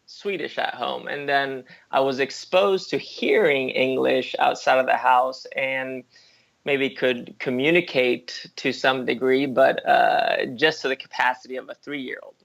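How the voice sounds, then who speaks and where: A man born in the US and raised in Spain, answering interview questions in a thoughtful way, with many pauses and restarts. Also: mid-range voice, steady tone, gravelly, a bit bright. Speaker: interview guest.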